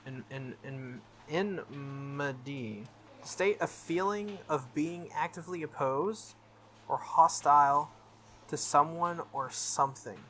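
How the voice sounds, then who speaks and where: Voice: medium pitch, slow, a bit bright, a little hiss or hum. A game playthrough host casually commenting on a visual novel while reading it aloud, stumbling over and puzzling at unfamiliar words.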